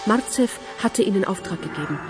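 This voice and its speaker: A woman playing a composed, probing police investigator in a German radio crime drama, questioning calmly and methodically. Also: mid-range voice, brisk, soft background music, lightly acted. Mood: pensive, firm.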